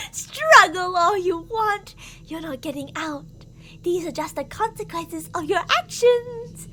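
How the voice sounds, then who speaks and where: A woman's voice: extremely high pitch, very animated, takes it slowly, bright, forceful tone, heavily acted. A woman playing an obsessively jealous, murderous stalker lover in an ASMR roleplay, theatrical and possessive, swinging between coaxing and menace. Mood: manic gloating. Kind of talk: ASMR girlfriend roleplay acting. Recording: audible background noise.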